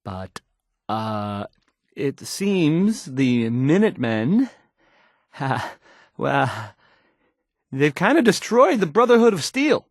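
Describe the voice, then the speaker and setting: A man's voice: mid-range voice, very animated, no echo, studio quality, full character voice. A man playing a timid, awkward young radio DJ in a video game, hesitant and apologetic as he stammers through the news in a shaky voice. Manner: stammering, halting, apologetic, trailing off. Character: timid, awkward young male radio DJ, anxious and unsure of himself.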